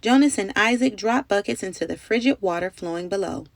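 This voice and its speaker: A woman narrates a children's storybook with expressive, emphatic phrasing. Her voice sits at a medium pitch with some gravelly fry, recorded close and dry. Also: a little fast, no echo. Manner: dramatic, carefully phrased storytelling with emphatic delivery. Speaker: storybook reader.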